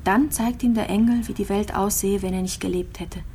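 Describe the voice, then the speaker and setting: An actress playing a terminally ill patient in a radio play, musing in a plain, halting, intimate way, resigned yet clinging to hope. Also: female voice, mid-range voice, steady tone, a little mellow, a little hiss or hum, lightly acted. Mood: wistful. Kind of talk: radio drama monologue, intimate and naturalistic.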